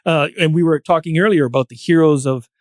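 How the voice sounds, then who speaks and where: Male guest speaker, lighter higher register, reflective and hesitant, dry recording. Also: fast talker, no room sound. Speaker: podcast guest. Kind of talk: reflective discussion.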